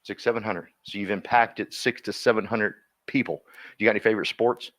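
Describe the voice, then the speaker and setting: A man coaching a live workshop audience, energetic and folksy, constantly quizzing the room and drawing answers out of people. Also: fairly low pitch, fairly quick. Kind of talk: interactive seminar teaching.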